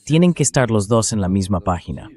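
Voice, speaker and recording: Synthetic male narrator for translated political commentary, fairly low register. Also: animated, fairly quick, darkish tone.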